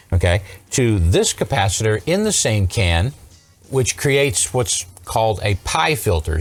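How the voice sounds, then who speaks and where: A man giving a casual hands-on repair tutorial, explaining and thinking aloud as he goes. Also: mid-range voice. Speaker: vintage electronics repair host.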